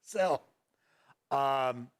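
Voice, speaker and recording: A man's voice: medium pitch, drawn-out words, clear, resonant tone, no room sound. Rambling man hosting a livestream. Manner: rambling, reads viewer chat aloud and responds offhandedly. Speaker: host of a gaming news livestream. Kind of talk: casual livestream chat. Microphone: studio microphone at a desk.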